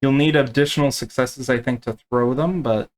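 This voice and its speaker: A man acting as game master, explaining rules and narrating tabletop play in a halting, unscripted way. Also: medium pitch, fairly even intonation.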